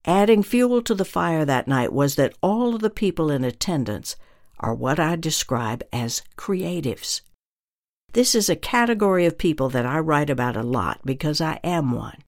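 A minister delivering a scripted religious teaching in a deliberate, earnest way, with emphatic phrasing. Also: mid-range voice, expressive.

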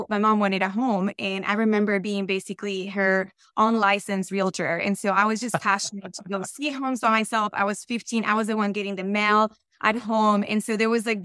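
A woman's voice: mid-range voice, fairly bright tone, smooth, dry recording, clean studio sound. A woman from Colombia with a Colombian Spanish accent, a guest on a podcast, answering questions in a friendly, explanatory way. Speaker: podcast guest, real estate investor. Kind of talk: conversational interview answers.

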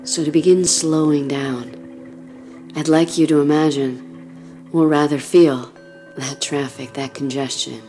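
Slow, low, hushed woman guiding meditation. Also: fairly even intonation, soft background music. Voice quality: soft, breathy, often near a whisper. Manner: soothing, gentle, unhurried, with long pauses. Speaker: meditation guide. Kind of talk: guided meditation, body scan narration.

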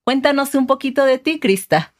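Una mujer mexicana con acento mexicano, co-presentadora de un podcast, que guía la conversación con preguntas amables. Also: female voice, high-pitched, normal speed. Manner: friendly, guiding the interview with questions. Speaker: podcast co-host. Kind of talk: casual chat.

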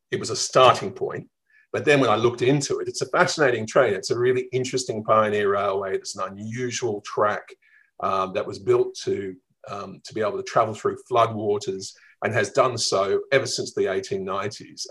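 Thoughtful Australian travel writer, lowish voice. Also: male voice. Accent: Melbourne, Australian. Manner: reflective, lively storytelling with fillers. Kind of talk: radio interview.

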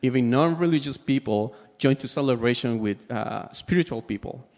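A man with a Peruvian Spanish accent delivers a sermon in a mid-range voice, heard with long hall reverb. He is a native Spanish speaker and goes haltingly, feeling for his words as he preaches. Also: mid-range voice, a little mellow. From Peru. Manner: halting, searching for words in a second language.

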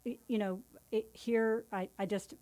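A woman's voice: on the high side, steady tone, unhurried, mellow. Woman in her 50s, from northern california, lawyer on a podcast, friendly and chatty, restarts a lot. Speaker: family law attorney, guest. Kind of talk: casual interview chat.

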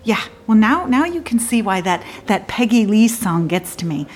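An actress playing a disillusioned woman lawyer in a theatrical monologue, confiding in a halting, wry and bitter way with abrupt swings. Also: mid-range voice, big pitch swings, darkish tone, husky, soft background music. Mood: rueful.

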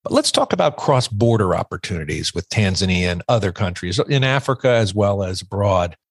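A man's voice: fairly low pitch, sing-song, fairly quick, slightly rough, no room sound, clean studio sound. A man hosting a podcast interview, asking questions in a friendly, conversational way. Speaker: podcast host.

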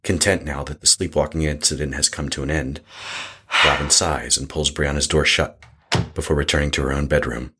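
Extremely deep-voiced male horror narrator. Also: steady tone, brisk, sharp tone, slight background noise. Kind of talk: scripted horror story narration.

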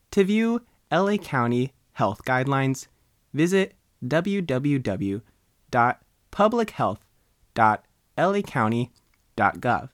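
American man reading news, animated pitch, dry sound. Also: dry recording. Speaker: newspaper reporter narrating. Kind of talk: scripted news narration, reading aloud.

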